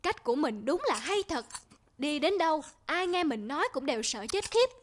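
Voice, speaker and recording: Woman playing a high-voiced sly fake monk man. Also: big pitch swings, moderate pace, a little hiss or hum. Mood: scheming. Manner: pious, formal, persuasive. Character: sly fake monk, gaunt man, smoothly pious swindler. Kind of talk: cartoon folktale dialogue.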